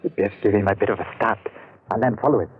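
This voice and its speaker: An actor playing a canny, loyal manservant in an old time radio drama, speaking emphatically in quaint turns of phrase. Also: male voice, lowish voice, fast talker, close to an everyday voice. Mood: watchful. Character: canny, loyal manservant, grimly urgent and superstitious. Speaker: supporting actor in a radio play. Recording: thin, phone-like old radio recording.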